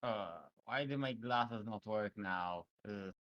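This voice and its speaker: Slow, terse gamer man. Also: fairly low pitch, muffled recording. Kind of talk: casual gaming chat.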